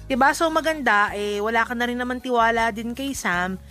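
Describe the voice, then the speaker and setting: A woman's voice: fairly high pitch, a little fast, fairly bright tone, soft background music. A woman with a Filipino accent co-hosting a radio show, chatting casually and giving relationship advice in a playful, teasing, slangy way, switching between languages. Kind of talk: casual relationship advice chat with Tagalog-English code-switching.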